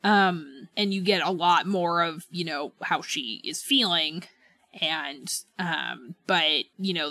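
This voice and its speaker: Animated woman chatting on a podcast. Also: fairly low pitch, no room sound. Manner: halting, thinking aloud. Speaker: podcast co-host. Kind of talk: casual book discussion podcast.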